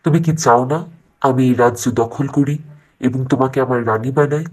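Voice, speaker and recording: A man acts out a commanding male royal from a cartoon fairy tale. His mid-pitched voice is noticeably husky, and he declaims in an earnest, formal storybook way. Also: steady tone, normal speed, a little mellow, close to an everyday voice, rough recording. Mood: angry.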